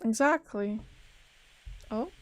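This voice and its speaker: Higher-voiced woman streaming a game. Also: animated, takes it slowly. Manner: reactive, talks back to game characters. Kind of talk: casual live gameplay commentary. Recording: game music behind.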